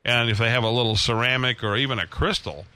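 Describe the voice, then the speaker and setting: A man hosting a casual radio chat about old transmitters, rambling with many pauses and restarts. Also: medium pitch, bright, forceful tone, clear, resonant tone.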